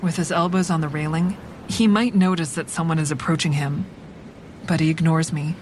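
An actress playing a solitary, troubled bridge patroller, narrating an audio drama in a measured, introspective way. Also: female voice, sits low, fairly even intonation, a little mellow, slight background noise, close to an everyday voice. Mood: brooding, introspective. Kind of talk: scripted first-person audio drama narration. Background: music.

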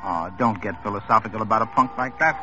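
An actor playing a blunt, cynical police detective who talks in a brisk, lecturing way in an old radio crime drama. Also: male voice, fairly low pitch, bright, forceful tone, faint music behind. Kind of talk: 1950s radio drama acting. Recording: dull 1950s radio drama recording.

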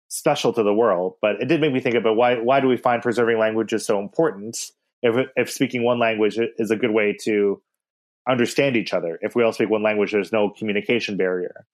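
A man co-hosting a podcast, talking through the weekly Torah portion in a thoughtful, rambling way with many restarts. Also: lowish voice. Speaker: podcast co-host.

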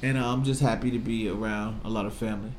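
A man's voice: on the low side, steady tone, quick pace. An American man in his thirties chatting casually as an interviewer, slangy and repeating phrases for emphasis. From Virginia, United States. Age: 30s. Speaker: podcast host and interviewer. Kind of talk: casual chat. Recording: echoey room, audible background noise.

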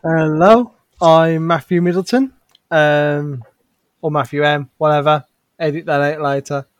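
A man's voice: fairly high pitch, fairly even intonation, unhurried, dry recording. Chatty self-deprecating man. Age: 30s. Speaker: nursery practitioner, guest.

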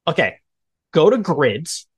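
A man in his thirties hosting a sports podcast, chatting casually in an animated way. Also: fairly high pitch, slow pace, breathy edge, no room sound. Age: 30s. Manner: animated, mimics exclaimed reactions. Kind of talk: casual sports debate chat.

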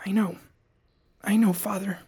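A man acting in an audio drama as a grown son recounting a childhood memory in a measured, emotional way. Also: high-pitched, level tone, mellow, breathy edge, full character voice. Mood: moved.

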